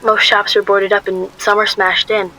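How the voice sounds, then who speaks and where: Woman playing bright-voiced young narrator. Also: mid-range voice, little pitch movement, normal speed, low-quality recording. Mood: wry, uneasy narration. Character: anxious, guarded young female shop worker narrating. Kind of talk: first-person radio drama narration.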